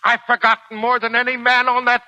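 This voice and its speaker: An actor playing a proud, embittered old Marine sergeant in a 1940s American radio drama, with gruff, slangy, uneducated speech. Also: male voice, extremely high pitch, completely flat delivery, a little fast, sharp tone, dry recording, heavily acted. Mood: indignant. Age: older. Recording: dull 1940s radio recording, faint music.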